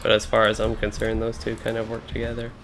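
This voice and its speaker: A man's voice: lowish voice, monotone, fast talker, over music. A young man from Kentucky, casually commenting while he plays an online game, thinking aloud with many fillers and restarts. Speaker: game streamer.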